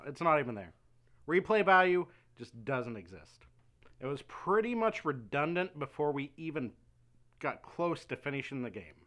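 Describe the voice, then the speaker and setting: A man reviewing a game with deadpan sarcasm and stammering restarts. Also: medium pitch.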